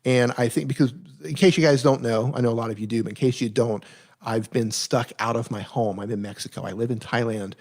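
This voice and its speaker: A man hosts a live question-and-answer stream in a relaxed, chatty way. He reads viewer questions aloud and answers them with direct, practical advice, often restarting his sentences as he thinks. His voice sits at a middle pitch for a man. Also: brisk. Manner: direct, plain-spoken advice with frequent self-corrections.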